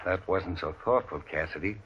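An actor playing a short-tempered, bullying gang boss in an old-time radio Western, blunt, slangy and threatening. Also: male voice, deep voice, normal speed, background noise, natural voice. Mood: menacing. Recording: dull vintage radio recording.

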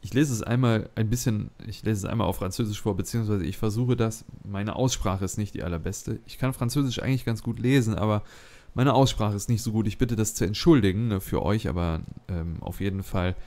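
A man's voice: on the low side, a little fast, darkish tone. A man hosting a let's play, chatting casually and thinking aloud with many fillers. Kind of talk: casual gameplay commentary.

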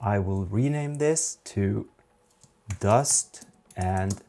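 Slow, low-voiced Norwegian man teaching. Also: warm tone, slight background noise. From Norway. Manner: slow, methodical, halting explanations. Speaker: photography instructor. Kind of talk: software tutorial.

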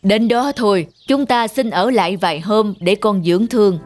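A woman voicing a patient, stern bear wizard teacher in a cartoon, instructive and measured. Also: medium pitch, over music, close to an everyday voice. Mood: courteous. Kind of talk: cartoon fable voice acting.

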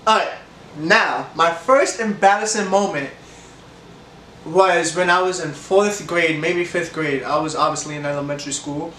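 A young man with a stuffy nose, telling a rambling casual story time to camera, animated and laughing often. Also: on the high side, normal speed, slight background noise. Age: young adult. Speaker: vlogger. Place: room with leather couch, indoors. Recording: echoey room, camera a bit far.

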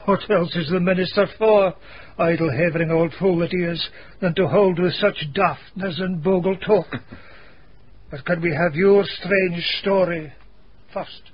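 An actor playing a grave, stern Scottish minister in a radio mystery, speaking solemnly and deliberately. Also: male voice, sits high, fairly even intonation. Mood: wry. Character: grave elderly Scottish minister, self-deprecating and morally stern. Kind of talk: dramatic radio acting. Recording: dull, faintly noisy radio recording.

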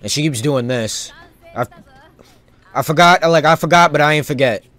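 A man streaming a video game with casual live commentary, rambling and thinking out loud in loose slang. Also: a little high, moderate pace.

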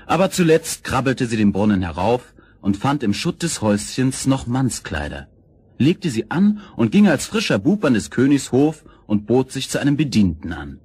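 A man narrating a children's fairy tale as storyteller, with expressive, scripted storybook delivery. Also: mid-range voice, normal speed, husky, no room sound.

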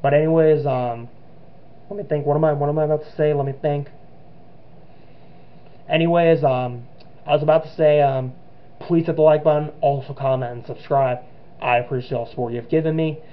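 A man's voice: a little high, steady tone, a little fast, long pauses, clear, resonant tone. Nervous young man, dull lo-fi recording. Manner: nervous, rambling, many restarts and fillers. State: voice cracking. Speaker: gamer. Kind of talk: let's play commentary. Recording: dull lo-fi sound with game music.

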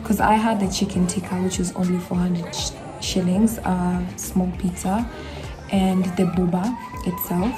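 A woman's voice: fairly low pitch, measured pace, music in the background. A young woman with a Kenyan accent, chatting casually to her phone camera from a small bedroom as she reviews a café. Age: young adult. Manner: chatty, animated hand gestures, emphatic asides. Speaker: vlogger.

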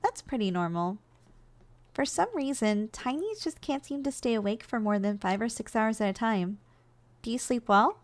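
A woman performs a kindly giantess secretary in an ASMR role-play. Her voice sits a little high, and she is soft, coaxing and nurturing, with a teasing affection for the tiny person in her care. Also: lively intonation, moderate pace, natural voice. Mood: calm, soothing. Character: gentle, doting giantess secretary caring for her shrunken boss.

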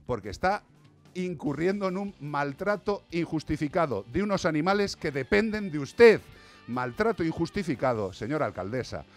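A middle-aged man with a Castilian Spanish accent speaks as a radio host, close to a studio microphone. His voice sits at a medium pitch and his delivery is blunt, sarcastic and indignant, like an opinion editorial. Music plays behind him. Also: fairly bright tone. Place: small radio studio, indoors. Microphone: close studio microphone on arm.

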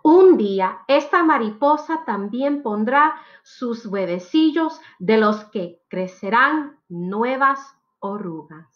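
A woman's voice: on the high side, animated, slow pace, hardly any pauses, highs cut off. A woman in her thirties reads picture books aloud for children, expressively and with careful phrasing, as a teacher would.

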